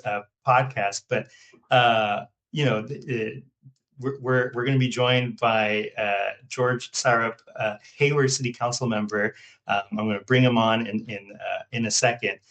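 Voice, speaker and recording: A man with an American accent hosting an interview in a halting, wordy, conversational way, circling around his questions. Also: medium pitch, fairly bright tone. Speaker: podcast host.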